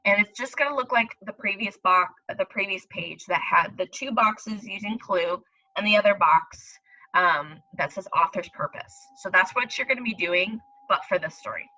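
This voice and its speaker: Bright-voiced woman teaching young children. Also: mid-range voice, moderate pace, highs cut off. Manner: instructional, explaining step by step, addressing the children directly. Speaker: elementary school teacher. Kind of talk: read-aloud reading lesson for young children.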